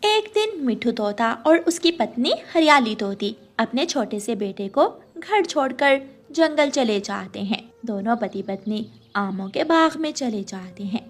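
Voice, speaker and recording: A woman reading scripted narration for a children's animated story. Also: fairly high pitch, measured pace, few pauses.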